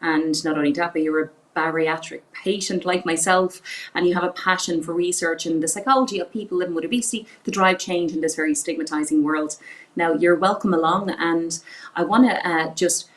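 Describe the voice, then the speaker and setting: A woman's voice: lowish voice, fairly even intonation, brisk. A woman with a head cold hosting a patient panel discussion and introducing guests. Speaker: host.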